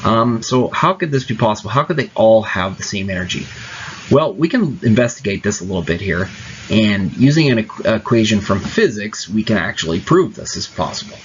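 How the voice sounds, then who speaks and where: A man's voice: fairly low pitch, animated, brisk, slight background noise. A man teaching chemistry, explaining each step patiently in a casual tone with small fillers. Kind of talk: classroom explanation. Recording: dull lo-fi recording.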